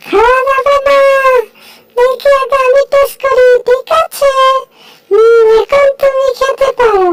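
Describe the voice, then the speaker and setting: A tiny cartoon mouse squeaks in a very high, childlike voice. It is excitable and whiny, full of exclamations and complaints, with music playing behind it. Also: female voice, rough recording. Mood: pleading, bossy. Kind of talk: improvised comic cartoon dubbing.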